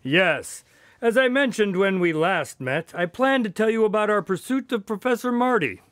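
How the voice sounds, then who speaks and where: Chatty doctor sidekick guy, man acting, kinda high voice, swoops around, wry, radio drama, dry sound. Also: lively intonation, moderate pace, a bit bright, dry recording. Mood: genial storytelling. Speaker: narrator-character of a radio drama. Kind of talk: scripted radio drama narration and dialogue.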